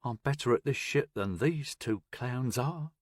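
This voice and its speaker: A man voicing a sly, cowardly man who babbles in a high, strained voice. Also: expressive, measured pace, no room sound, clean studio sound. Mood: smug. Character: sly, cowardly man, wheedling then smug.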